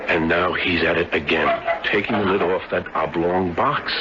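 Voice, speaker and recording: An actor playing a worried, stubborn husband in a 1970s radio drama, speaking earnestly and in formal wording on an old recording. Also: male voice, deep voice, fairly even intonation, moderate pace, muffled recording, very noisy, natural voice. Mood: earnest, tense. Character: worried, stubborn husband determined to help his friend.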